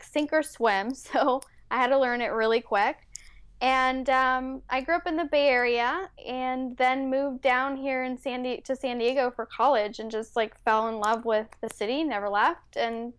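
A woman's voice: a little high, smooth, dry recording. A woman from Mexico City whose first language is Spanish, chatting upbeat and friendly as an interview guest, with frequent ums and likes. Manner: friendly, upbeat, uses like and um often. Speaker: podcast guest, entrepreneur and psychotherapist. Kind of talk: casual interview chat.

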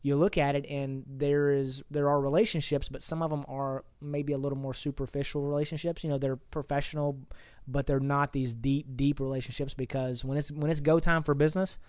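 A man's voice: mid-range voice, flat delivery, a little fast, darkish tone, clean tone, no room sound. A man hosting a podcast interview, talking casually and rambling, often repeating words as he thinks. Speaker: podcast host.

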